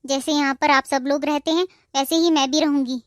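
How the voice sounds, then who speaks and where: A woman voicing a gentle, polite young newlywed daughter-in-law in a cartoon, with a soft, respectful manner. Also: sits high, steady tone, brisk, noisy background, lightly acted. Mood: sweet. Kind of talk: Hindi cartoon dubbing.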